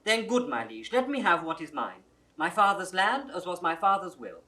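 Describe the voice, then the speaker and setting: An earnest younger brother with a grievance in a classical audio drama. His voice is high and bright, with an animated, formal, pleading delivery. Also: moderate pace, full character voice. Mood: aggrieved, earnest. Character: earnest, aggrieved thin younger brother claiming inheritance. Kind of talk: 1960s classical Shakespeare audio drama acting.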